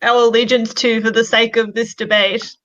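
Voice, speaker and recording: Woman, high-pitched, forceful bright tone, casual podcast chat, playful mock-serious manner, slightly dull recording. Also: level tone, moderate pace. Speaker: podcast co-host.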